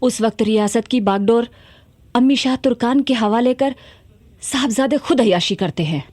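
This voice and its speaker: An actress playing a principled, dutiful young warrior princess in a historical radio drama, with an earnest, formal delivery. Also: female voice, on the high side, normal speed, darkish tone, no room sound, close to an everyday voice. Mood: bitter, worried.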